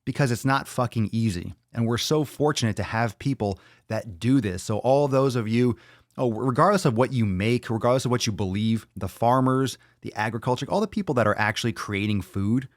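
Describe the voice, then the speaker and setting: A man's voice: fairly low pitch, dry recording. A young man hosting a solo podcast, ranting bluntly and emphatically with frequent swearing into a close mic. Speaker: podcast host.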